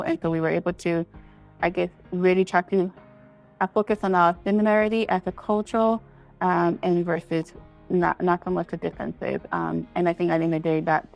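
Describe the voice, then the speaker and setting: A woman from Liberia speaks as a guest on a talk show. Her voice is slightly low with noticeable creak, over faint music. She is reflective and hesitant, feeling her way to each point in a conversational style. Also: rough recording. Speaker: interview guest. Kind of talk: conversational interview answers.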